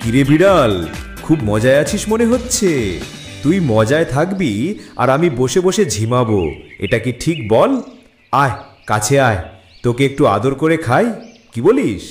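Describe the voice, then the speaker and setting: A man plays a sly cartoon jackal, predatory and teasing. The voice swings in pitch and rings with echo over faint music. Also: very animated, normal speed, long pauses, natural voice. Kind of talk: children's moral cartoon acting. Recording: echoey, faint music behind.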